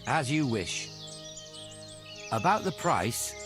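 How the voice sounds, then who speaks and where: An actor playing a businesslike, compliant medieval charcoal supplier in a video game. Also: male voice, mid-range voice, big pitch swings, slow pace, music in the background. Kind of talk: video game cutscene acting.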